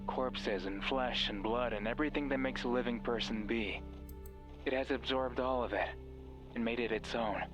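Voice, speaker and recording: A sinister, mysterious male voice from a horror game, acted out by a man, at a middling pitch with music clearly playing behind it. He is deliberate and insinuating, a false friend who manipulates and taunts. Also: close to an everyday voice, poor audio. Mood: ominous. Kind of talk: dramatic horror game voice acting. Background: background music.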